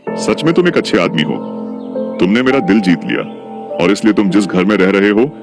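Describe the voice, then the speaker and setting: A man's voice: deep voice, sing-song, a little fast, music in the background. A man voicing a kind, generous village headman in a cartoon story, welcoming and reassuring. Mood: welcoming, appreciative. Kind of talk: Hindi moral-story cartoon dialogue.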